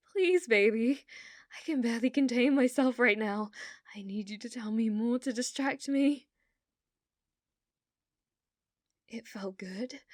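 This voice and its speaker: Woman acting as clingy manipulative british girlfriend, asmr roleplay, soft breathy whispery, kinda high voice, takes it slow. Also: no room sound, studio quality. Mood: excited, giddy. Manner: slow, coaxing, intimate. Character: obsessive, manipulative, adoring young girlfriend.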